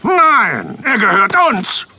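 An actor playing a gruff, stubborn, meat-loving dragon father with a gravelly, blustering delivery in a children's audio drama. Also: high-pitched, huge pitch swings, slow pace, crowd noise, exaggerated voice. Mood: defiant. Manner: blustering, indignant.

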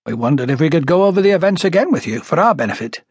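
Man playing a husky, formal male detective. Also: fairly high pitch, expressive, racing, dry recording, clean studio sound, voice pushed up. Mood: courteous. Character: matter-of-fact, courteous male police detective. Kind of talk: audiobook character dialogue.

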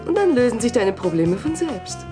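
Coaxing, lively-voiced woman cult recruiter. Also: fairly high pitch, moderate pace, music in the background. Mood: friendly, coaxing. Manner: coaxing, assured. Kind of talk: German audio drama acting.

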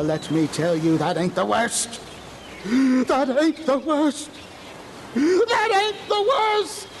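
A man's voice: very high voice, background noise, full character voice. An actor playing a crazed, ranting old seaport man with a feverish, folksy manner in a radio horror drama. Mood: crazed, insistent. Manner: feverish, folksy, rhetorical questions.

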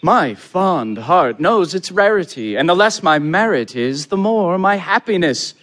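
A man playing an eager young gentleman, a smooth-talking romantic in a theatrical verse comedy, with ardent, flowery delivery. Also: high-pitched, sing-song, measured pace, exaggerated voice. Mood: ardent, pleading. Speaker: actor.

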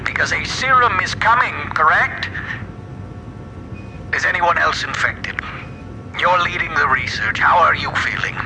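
An actor playing a stern, folksy president who speaks with formal authority in a radio play. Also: male voice, a little high, unhurried, creaky, pauses often, background noise. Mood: solicitous, probing. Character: stern, folksy male president, commanding and disapproving. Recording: music bed behind the voice.

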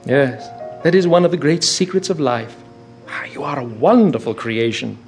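An actor playing a witty, languid aristocratic gentleman in a radio drama, speaking in theatrical epigrams. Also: fairly high pitch, expressive, normal speed, faint music behind. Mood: urging.

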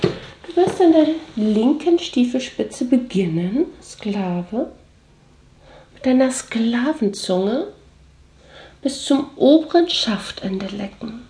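A woman playing a haughty mistress in an intimate audio drama, commanding and condescending, often slipping into breathy whispers. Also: fairly high pitch, animated, takes it slowly, mellow, reverberant. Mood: commanding, sensual. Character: haughty dominatrix mistress commanding her servant. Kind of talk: scripted German audio drama monologue, intimate.

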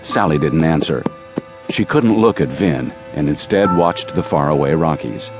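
A man's voice: extremely low pitch, animated, measured pace. A man reading scripted narration for a dramatized Western audiobook. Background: music.

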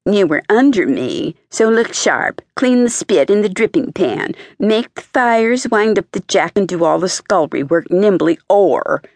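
A woman's voice: medium pitch, animated, a little slow, hardly any pauses, a little mellow, no room sound, voice pushed up. Woman acting cross female cook, storybook character, scolding, bossy, ill-natured. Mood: bossy threatening. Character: ill-tempered, bullying female kitchen cook.

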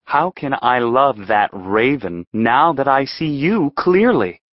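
A man's voice: mid-range voice, animated, takes it slowly, dry recording, dull audio. A man playing an ardent, spellbound young nobleman in a Shakespeare audiobook, declaiming fervently. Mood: ardent, adoring. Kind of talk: theatrical audiobook Shakespeare acting.

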